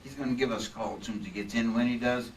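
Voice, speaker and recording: Casting: male actor as excitable, folksy insurance man for vintage radio drama re-creation, hems and repeats, heard at a distance. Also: medium pitch, very fast, lightly acted. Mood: earnest. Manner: folksy, hemming, repeats himself. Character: male insurance man Earl, hospitable, excitable, bossy husband. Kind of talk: old-time radio drama re-creation on stage. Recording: distant stage mics, some room noise.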